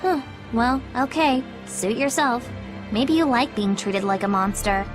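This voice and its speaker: A woman's voice: fairly high pitch, unhurried, music in the background, close to an everyday voice. An actress playing a blunt, cynical, teasing girl spirit in a video game, with a dry, sardonic manner. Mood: dry, detached. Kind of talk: English video game dub acting.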